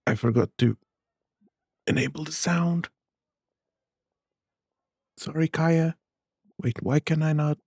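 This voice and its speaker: A man streams a video game and comments casually as he goes. His voice is mellow and a little husky, with low-key muttered asides. It sounds dry and close, with faint music behind it. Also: slow, long pauses, no echo. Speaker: gaming streamer.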